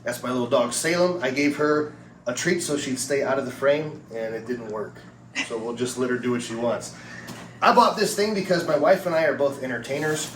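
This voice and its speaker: A man's voice: mid-range voice, brisk, a bit bright. Man presenting in a roomy, distant recording. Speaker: product reviewer. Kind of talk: casual product review and demonstration. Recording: roomy, distant mic with background noise.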